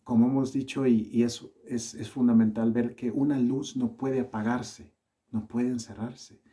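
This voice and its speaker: Salvadoran guy with a bit of colombian in his accent, preaching, warm mellow voice, earnest and steady. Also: mid-range voice. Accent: Salvadoran with Colombian touches. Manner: earnest, measured preaching with small restarts. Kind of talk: sermon.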